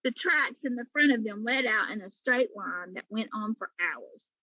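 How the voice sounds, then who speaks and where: Bright-voiced woman reading a storybook aloud. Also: fairly high pitch, animated, moderate pace, dry recording, lo-fi sound. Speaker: teacher reading aloud to pupils. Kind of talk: storybook read-aloud narration.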